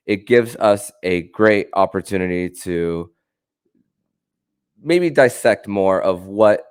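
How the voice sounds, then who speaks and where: A man hosting a sports podcast, speaking in a halting way with repeated and restarted phrases. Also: deep voice, expressive, clear, resonant tone. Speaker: podcast host.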